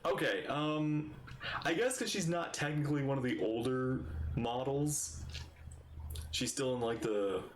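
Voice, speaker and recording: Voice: mid-range voice, measured pace, a little hiss or hum. A game streamer chatting casually while reacting to a story. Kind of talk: casual live commentary with read-along reactions. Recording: roomy echo, faint game music behind. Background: faint game music.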